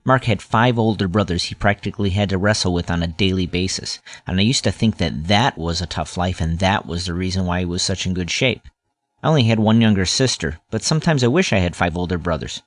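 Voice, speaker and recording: A man's voice: deep voice, a little fast, dry recording, close to an everyday voice. A man playing a grown-up narrator who recalls a scary boyhood adventure in earnest, dramatic first-person storytelling. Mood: reflective, suspenseful.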